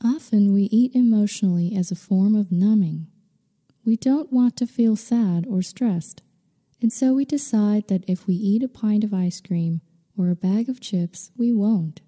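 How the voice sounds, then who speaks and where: A woman guiding a meditation in slow scripted narration, with a soothing, unhurried, gently instructing manner. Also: mid-range voice. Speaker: meditation guide. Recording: long reverberant hall-like echo.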